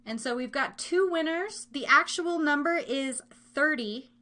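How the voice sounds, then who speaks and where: Female live-stream game host, American, higher-pitched voice, casual and chatty, playful with the audience. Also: lively intonation, a little slow, pushed and bright. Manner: playful, chatty, giggly asides to listeners. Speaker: live show host running a trivia game.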